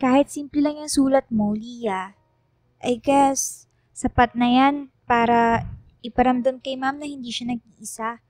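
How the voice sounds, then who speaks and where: A woman's voice: high-pitched, steady tone, measured pace, pauses often, darkish tone, no room sound. A woman voicing a sympathetic, level-headed teenage schoolgirl in a casual radio drama conversation.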